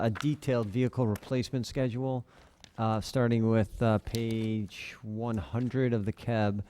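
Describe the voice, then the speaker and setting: A man on city staff answers questions from council members. His voice is mellow and smooth, and his replies are plain and matter-of-fact. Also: on the low side. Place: council chamber.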